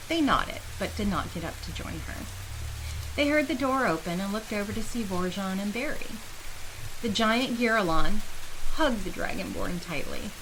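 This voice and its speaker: Woman narrating fantasy over steady hiss. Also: mid-range voice. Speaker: story narrator.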